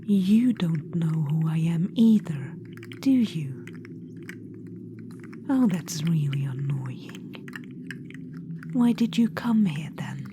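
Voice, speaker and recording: A woman's voice: low voice, animated, slow, long pauses, background noise. A woman voicing a vain, imperious undead witch queen in a whispered ASMR roleplay, teasing and condescending toward the listener. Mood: wistful. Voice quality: soft whispering.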